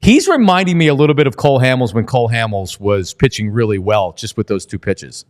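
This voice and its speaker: A man's voice: medium pitch, lively intonation, fairly quick. A man hosting a casual sports chat in an eager way, often stammering over repeated words. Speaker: sports show host.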